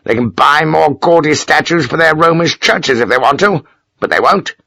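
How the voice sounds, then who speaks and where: A man voicing a gruff, pious older army major who talks in curt, self-important bursts. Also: a little high, lively intonation, normal speed, bright, forceful tone, dry recording, clean studio sound, pitched above the performer's own voice, heavily acted. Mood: indignant. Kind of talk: audiobook character voice.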